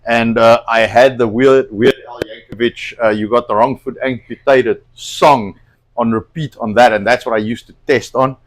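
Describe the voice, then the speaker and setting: A man's voice: medium pitch, fairly even intonation, moderate pace. A man whose first language is Afrikaans, hosting a casual cooking livestream from a home kitchen, rambling with plenty of fillers and restarts. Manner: rambling, hesitant, lots of fillers. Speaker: cooking show host.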